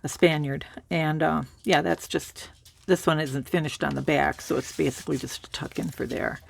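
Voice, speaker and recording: A woman with a cold and a raspy voice, casually showing a handmade journal and rambling through it with fillers. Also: low voice, moderate pace, a little hiss or hum. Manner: relaxed, rambling, with many fillers and restarts. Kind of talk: casual show-and-tell.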